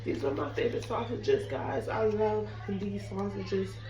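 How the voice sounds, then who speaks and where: A young woman talks casually to her viewers from her home kitchen, her voice hoarse and fading from illness. Her marks her easy, chatty delivery. She stands away from the mic, and the bare room adds a roomy echo. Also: mid-range voice, unhurried, warm tone, distant mic, faint music behind. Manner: chatty, apologetic, addresses viewers as "guys". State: sick, losing her voice, hoarse. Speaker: cooking vlogger. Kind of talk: casual chat to camera.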